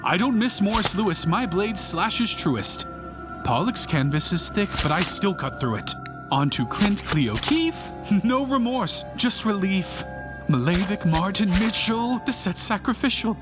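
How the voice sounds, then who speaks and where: A man's voice: sits high, sing-song, measured pace. A man performing a bitter, self-righteous failed painter turned fanatical vandal in an intense, rhyming dramatic monologue. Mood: frenzied triumph. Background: music behind the voice.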